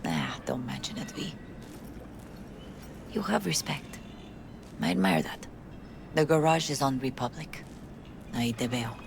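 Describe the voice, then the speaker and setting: Game role: bereaved Mexican mama, middle-aged, warm and dignified, fry in the voice, noisy ambience. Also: female voice, rumbling low, sing-song, a little slow, long pauses, heavily acted. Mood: resigned, kind. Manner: gentle, maternal, slips into Spanish endearments. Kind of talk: cinematic video game acting.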